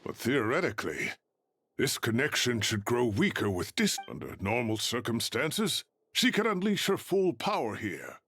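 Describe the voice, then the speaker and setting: An actor playing a learned, anxious male researcher in a video game, expressive and scholarly in his phrasing. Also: medium pitch, normal speed, slightly rough, heavily acted. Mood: urgent. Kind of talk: scripted video game voice acting. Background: background music.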